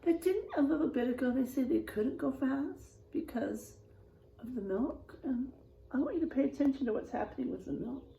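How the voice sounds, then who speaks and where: A woman reading a picture book aloud to children, pausing to ask them questions. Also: high voice, lively intonation, vocal fry, warm tone, echoey room, poor audio. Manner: slow, asks children questions. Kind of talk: children's storybook read-aloud.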